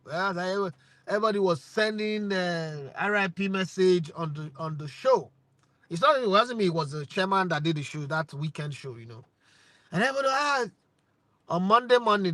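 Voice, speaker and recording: A man in his forties from Nigeria with a Nigerian accent, hosting his sports channel with lively rhetorical questions and bits of Pidgin. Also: a little high, dry recording. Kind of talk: opinionated talk to camera.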